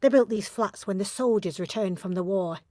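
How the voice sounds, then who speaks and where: A woman's voice: medium pitch, brisk, dark tone, dry recording, lightly acted. Actress as gossipy, blunt woman caretaker, dry close sound. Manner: chatty, busybody. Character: gossipy, suspicious, blunt female building caretaker. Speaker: actor in an audio play. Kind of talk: naturalistic audio drama dialogue.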